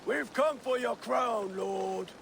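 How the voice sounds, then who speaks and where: An actor playing a gruff Viking war leader in a game cutscene, terse and commanding. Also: male voice, very high voice, takes it slowly, a bit bright, slight background noise, full character voice. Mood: rousing. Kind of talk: dramatic game cutscene acting.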